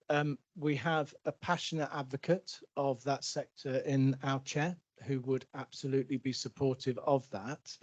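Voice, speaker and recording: A man's voice: mid-range voice, a little mellow. A man, an NHS executive, answering a question at a public meeting in an earnest, emphatic way with frequent restarts.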